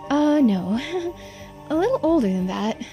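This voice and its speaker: Woman voicing a coy, high-voiced immortal girl. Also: sing-song, slow pace, warm tone, soft background music, exaggerated voice. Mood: sheepish. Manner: hesitant, understated, coy. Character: immortal centuries-old girl who looks seventeen, coy, playful. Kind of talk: voiced visual novel playthrough.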